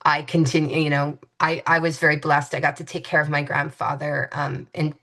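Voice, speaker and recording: A woman in her fifties from Hawaii speaks as an interview guest with a low voice. She talks in a reflective, halting way, often pausing on fillers and restarting her sentences. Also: little pitch movement, creaky, a bit bright. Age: 50s. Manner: hesitant, many fillers and restarts.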